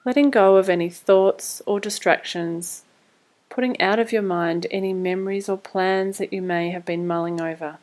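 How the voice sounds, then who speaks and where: A woman leading a guided body scan meditation, giving scripted instructions in a calm, measured, soothing way. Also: medium pitch.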